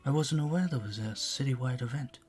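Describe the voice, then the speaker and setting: A man's voice: medium pitch, a little fast, a little mellow, breathy edge, soft background music, close to an everyday voice. An actor playing a charming, flirtatious vampire bartender in an intimate audio roleplay, suave and teasing as he speaks to the listener. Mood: friendly, curious.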